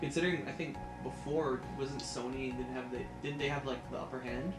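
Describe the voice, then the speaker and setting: A man's voice: medium pitch, fairly even intonation, fairly quick. A man in his twenties co-hosting a podcast, chatting casually with plenty of slang and swearing. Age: 20s. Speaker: podcast co-host. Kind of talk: casual chat about films and pop culture. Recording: echoey room, music behind. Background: music.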